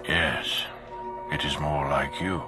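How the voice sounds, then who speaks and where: An actor playing a grim, imperious dark lord in a video game, speaking in a solemn, measured and commanding manner. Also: male voice, rumbling low, flat delivery, music in the background. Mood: grave, commanding.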